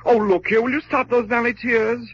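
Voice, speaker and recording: An actor playing a proper, good-hearted English adventurer with a British accent and exclamatory manner, in an old-time radio drama. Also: male voice, very high voice, steady tone, moderate pace, breathy edge, heavily acted. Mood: flustered, reluctant. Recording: muffled vintage radio recording.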